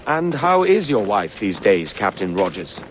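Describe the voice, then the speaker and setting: An actor playing a roguish, glib sailor in a video game, falsely polite with a casual, slightly hesitant wryness. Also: male voice, lowish voice, very animated, measured pace, slight background noise. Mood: wry, probing. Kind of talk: video game cutscene acting.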